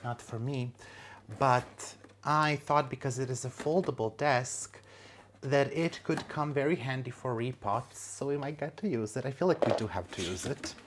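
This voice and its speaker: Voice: mid-range voice, normal speed, a little hiss or hum. A plant vlogger talking casually at home, joking at their own expense and cooing over new plants. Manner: chatty, self-mocking, coos at plants. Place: home room, indoors.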